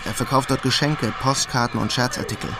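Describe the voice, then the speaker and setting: A man's voice: medium pitch, fairly even intonation, a little fast, lightly acted. An actor playing a wry, streetwise German immigrant in Queens, narrating a crime radio drama in a laconic, dry-humored way. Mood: wry, reflective.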